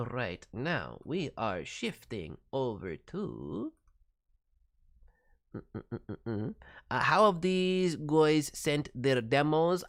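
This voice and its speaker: A man hosting a casual livestream as a voice director, giving feedback in a playful way with cutesy turns of phrase. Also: on the high side. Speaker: voice director, streamer host. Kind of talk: livestream critique of demo reels, casual.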